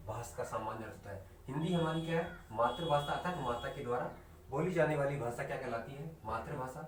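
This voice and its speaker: Hindi teacher guy lecturing, slows down hard on definitions, echoey room, faint background hum. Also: on the high side. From India. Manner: asks questions and answers them himself, repeats key terms; slows right down to stress definitions. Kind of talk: online class lecture. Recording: echoey room recorded from a distance.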